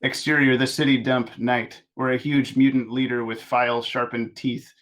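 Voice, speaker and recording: Male narrator for a table read, mid-pitched, delivering scripted action lines and stage directions. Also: little pitch movement, normal speed, a bit bright.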